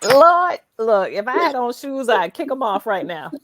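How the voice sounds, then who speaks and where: A middle-aged woman hosting a conversational interview in an animated, encouraging and emphatic way. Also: fairly high pitch, measured pace, background noise. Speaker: show host.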